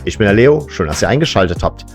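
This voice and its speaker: Joking man over clearly audible music. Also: lowish voice, lively intonation, fast talker. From Serbian family background. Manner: tongue-in-cheek, joking asides. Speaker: host of a football fan entertainment show. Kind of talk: casual satirical monologue to camera.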